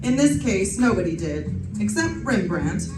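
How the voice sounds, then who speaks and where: Wisecracking female private eye, played by a woman for a vintage-style radio mystery; middle pitch, slangy and wry, distant echoey stage sound. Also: sing-song, unhurried, over loud music. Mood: wry, breezy. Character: wisecracking hard-boiled female private detective. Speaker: radio drama performer. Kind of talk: live re-creation of old-time radio detective drama. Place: live stage performance in a reverberant room. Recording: echoey room, picked up from a distance.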